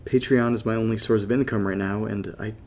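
An American man playing an anxious, brooding young podcaster in a horror audio drama, confiding in a halting, casual, gravelly voice. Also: fairly low pitch, fairly even intonation, a little mellow, lightly acted. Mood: reluctant.

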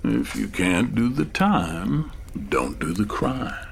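A man playing a weary, seasoned police detective in an audio drama, folksy, dry and unhurried. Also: fairly low pitch, sing-song, creaky, slight background noise, full character voice. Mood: wry, measured. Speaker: actor in audio fiction. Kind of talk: serialized audio drama acting.

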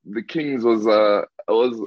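Brief: young South African man, very low voice, hesitant rambling, dry dull lo-fi sound. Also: unhurried, dry recording. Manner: hesitant, many fillers and restarts. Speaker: rugby player guest. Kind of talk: casual interview answers.

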